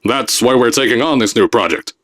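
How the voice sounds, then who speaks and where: Actor as a brash boastful company boss, barking pre-recorded announcements for a game. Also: male voice, mid-range voice, fairly quick, natural voice. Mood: ranting. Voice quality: loud, boastful salesman bluster.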